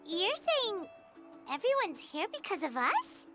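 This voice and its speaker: A woman voicing a tiny, childlike, excitable fairy girl with a squeaky voice in an anime game. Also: a little slow, full character voice. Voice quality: squeaky, creaky. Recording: music playing behind the voice.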